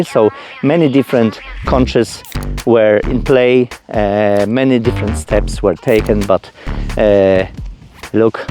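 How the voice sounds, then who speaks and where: A man's voice: lowish voice, warm tone. Effusive Polish-accented man vlogging. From Poland. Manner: effusive, repeats words for emphasis. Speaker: vlogger presenting own channel. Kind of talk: casual personal vlog chat.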